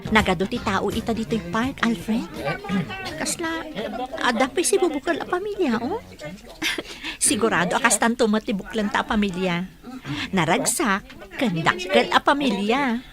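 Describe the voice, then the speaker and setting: Animated high-pitched young Filipino woman by an actress. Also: moderate pace, long pauses, over music, natural voice. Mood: cheerful. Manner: animated, questioning. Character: expressive, concerned young woman, quick to react. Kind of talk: Ilocano radio drama acting.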